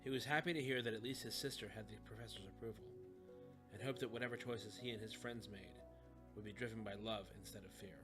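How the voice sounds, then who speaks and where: A man's voice: fairly low pitch, steady tone, quick pace, music in the background. A man reading a scripted story as an audiobook narrator.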